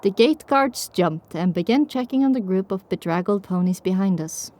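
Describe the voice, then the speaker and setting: A woman's voice: medium pitch, expressive, normal speed, a little mellow. A woman narrator reading a scripted first-person story for an audio drama.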